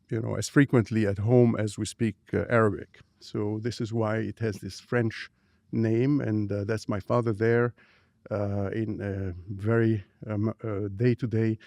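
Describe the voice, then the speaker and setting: Egyptian-accented scientist in his fifties, low gravelly voice, halting delivery with fillers, dry studio sound. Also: fairly even intonation, darkish tone, dry recording. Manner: hesitant, many fillers and restarts. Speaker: scientist guest. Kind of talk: interview conversation. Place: dark studio. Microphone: boom microphone on arm.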